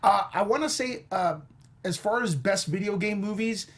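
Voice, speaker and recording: A man's voice: sits high, moderate pace, fairly bright tone. A man talking casually as a panelist on a film review show.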